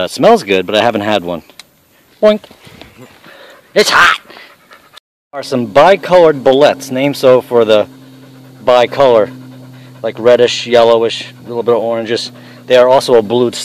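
A man's voice: mid-range voice, rough recording. A middle-aged man giving blunt, salty commentary outdoors on a forest trail, filmed on a handheld camera. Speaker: father showing mushrooms on a hike.

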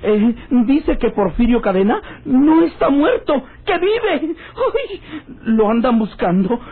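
A slow-drawling, swooping Mexican husband. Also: male voice, very high-pitched, very animated, breathy edge, full character voice. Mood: alarmed, tearful. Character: doting husband, unhurried, easily rattled outlaw hunter. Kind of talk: old Mexican radio drama acting. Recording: muffled, tinny old radio serial recording.

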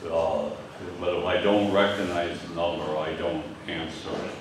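An older man with a Michigan accent delivers a Sunday sermon. He speaks slowly and haltingly in a low voice, with frequent fillers. He is picked up by a distant microphone, so his voice rings through a very echoey church hall. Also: steady tone, noisy background. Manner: halting, repeats words, many fillers. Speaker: pastor. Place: church hall with stage and screen. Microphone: lectern mic from a distance.

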